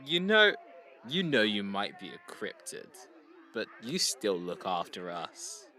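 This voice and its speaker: A man's voice: lowish voice, sing-song, slow, sharp tone, some chatter behind, heavily acted. A man playing a drunk, boisterous crew member who slurs and turns sentimental. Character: drunk, rowdy young male crew member.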